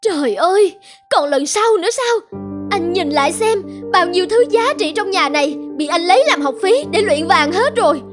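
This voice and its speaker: Very high-pitched, plaintive young wife. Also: female voice, lively intonation, moderate pace. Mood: exasperated, tearful. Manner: plaintive, lamenting. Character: long-suffering, anxious young wife and mother. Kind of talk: animated folk-tale dubbing. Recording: music bed under the voice.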